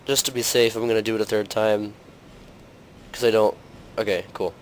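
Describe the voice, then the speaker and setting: A young man hosting a gaming channel, talking through gameplay in a casual, rambling way full of fillers, his voice slightly hoarse from a cold. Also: fairly low pitch, flat delivery, moderate pace, a little hiss or hum.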